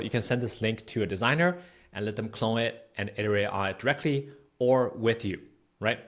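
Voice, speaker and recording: A man presenting a software tutorial in a casual, conversational, explanatory way, often checking in with the listener. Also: medium pitch. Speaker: tutorial host.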